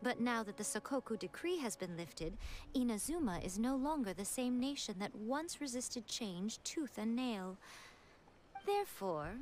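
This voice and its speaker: An actress playing a sly, elegant female fox-spirit priestess who talks in a smooth, teasing and regal way. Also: a little high, slight background noise, natural voice, low-quality recording. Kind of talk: game cutscene voice acting. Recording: game audio with soft music behind. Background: music.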